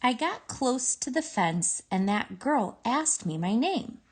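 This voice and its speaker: Female storybook reader, slow and measured, with animated pitch movement. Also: breathy edge. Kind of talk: expressive children's story read-aloud.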